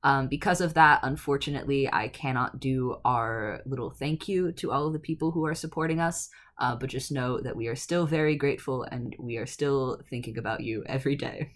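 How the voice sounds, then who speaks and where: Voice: mid-range voice, brisk, hardly any pauses, a bit bright. A podcast host making an informal announcement to listeners before an episode.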